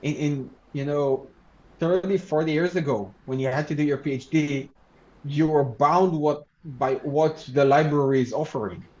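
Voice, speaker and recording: A man whose first language is Hebrew, a law researcher and lecturer answering interview questions in a measured, halting way with frequent restarts. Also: on the high side, fairly even intonation, darkish tone, lo-fi sound. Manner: measured, halting, with many restarts and "you know".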